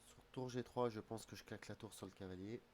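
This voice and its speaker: A man's voice: on the low side, fairly even intonation, fast talker, a little mellow, slight background noise. A man with a slight head cold who streams his chess games, muttering his calculations aloud in half-finished phrases. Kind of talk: thinking aloud while playing online chess. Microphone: streaming desk mic.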